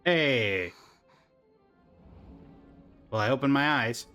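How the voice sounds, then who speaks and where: A man's voice: medium pitch, animated, extremely slow, sharp tone, clean tone. A man giving casual, playful, joking reaction commentary as he streams a video game. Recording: game music behind. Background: game music.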